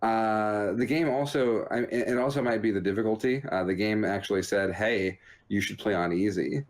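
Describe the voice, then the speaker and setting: A man's voice: lowish voice, moderate pace. A man hosting a video game show, giving casual, rambling commentary in a joking, understated way.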